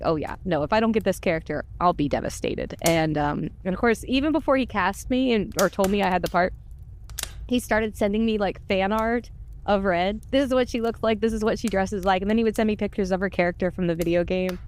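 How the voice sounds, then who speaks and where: A woman's voice: mid-range voice, brisk, darkish tone, a little hiss or hum. A woman chatting casually and telling personal stories in a candid, self-deprecating way. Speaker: podcast voice actor. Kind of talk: casual chat, personal storytelling.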